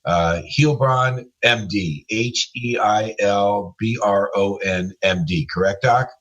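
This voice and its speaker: A man's voice: lowish voice, slow pace, a bit bright. A man co-hosting a radio talk show, affirming his guest and giving upbeat sign-offs. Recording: dull lo-fi sound.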